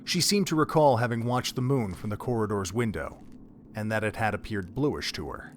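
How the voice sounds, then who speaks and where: A man's voice: on the low side, animated, moderate pace, a little hiss or hum. A man narrating a dramatic story from a script, as the narrator of an audio drama.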